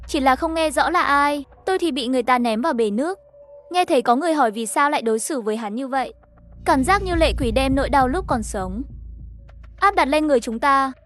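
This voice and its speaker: A woman's voice: high-pitched, animated, brisk, faint music behind. A woman reading a scripted story, voicing the narration and all the dialogue herself. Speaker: narrator of an animated horror story recap.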